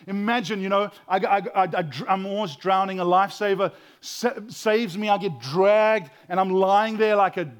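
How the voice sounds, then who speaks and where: A man with a loud voice preaching a sermon as pastor, swinging between hushed whispers and loud exclaiming, often stammering and repeating words. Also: high-pitched, moderate pace, hardly any pauses, clean tone.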